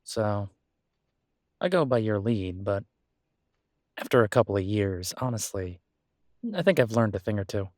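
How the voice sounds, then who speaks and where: A man's voice: on the low side, animated, a little slow, pauses often, dry recording, clean studio sound. A man playing a devoted, reassuring human boyfriend in an intimate roleplay audio, speaking casually and hesitantly. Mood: sheepish, amused. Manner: hesitant, casual, soothing, with soft chuckles. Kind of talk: roleplay audio acting, intimate one-sided conversation.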